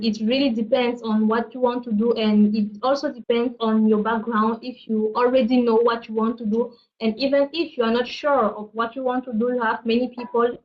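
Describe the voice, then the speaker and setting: Female speaker from Cameroon, monotone, measured webinar talk, dull recording. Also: fairly high pitch. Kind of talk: webinar testimony. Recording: dull lo-fi sound.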